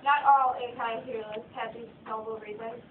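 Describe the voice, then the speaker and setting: A woman's voice: fairly high pitch, steady tone, moderate pace. A young woman reading scripted narration for a student puppet show, tripping over and repeating words as she goes. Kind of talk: scripted narration read aloud. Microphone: phone from across the room. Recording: tinny phone recording, distant, echoey, faint noise.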